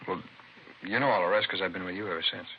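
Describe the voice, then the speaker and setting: A man with an American accent playing a weary, aggrieved killer confessing to police in a halting mumble, in the style of 1950s radio crime drama. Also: low-pitched. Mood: flat, weary. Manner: halting, mumbling, self-justifying. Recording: muffled, hissy vintage radio broadcast recording.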